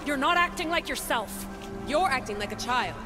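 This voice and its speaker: A woman's voice: sits high, animated, moderate pace. An actress playing an alarmed woman taking charge in a video game, urgent and scolding. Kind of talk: video game cutscene acting. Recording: loud music under the voice. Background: music.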